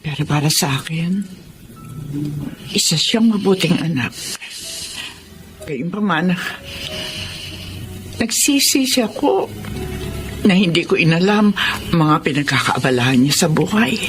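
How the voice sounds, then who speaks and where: A woman's voice: lowish voice, animated, a little slow, long pauses. A woman playing an ailing, grieving elderly mother in a Filipino radio drama, with a Filipino accent. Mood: grieving, regretful. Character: sorrowful ailing elderly mother grieving her dead son. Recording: dramatic music behind the voice.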